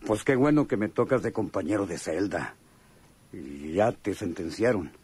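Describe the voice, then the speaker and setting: A man's voice: sits low, lively intonation, unhurried, darkish tone, slightly rough, heavily acted. A Mexican man acting a chummy, sly, hardened convict in a radio drama, talking in slangy, hesitant phrases. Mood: chummy, curious. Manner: slangy, hesitant drawl with knowing chuckles.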